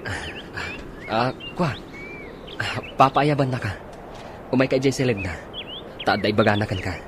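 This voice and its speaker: A man's voice: mid-range voice, a little slow, noisy background, lightly acted. A man playing a hesitant, attentive young boyfriend of an older woman in a radio drama.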